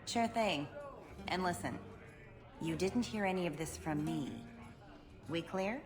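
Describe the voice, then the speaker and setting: An actress playing a brassy, worldly brothel keeper in a video game, with a sassy, offhand manner. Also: female voice, lowish voice, a little slow, close to an everyday voice. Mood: frank, confiding. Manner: sassy, offhand, calls people honey. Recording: game audio with music behind.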